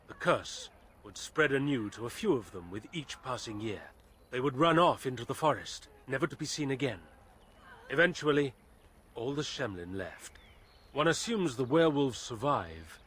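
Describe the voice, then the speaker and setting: An actor playing a grave elven storyteller in a video game, speaking in measured, formal phrases with solemn weight. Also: male voice, medium pitch, lightly acted. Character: grave elven storyteller warning of a cursed forest. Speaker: lore-telling game character. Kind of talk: dramatic video game dialogue.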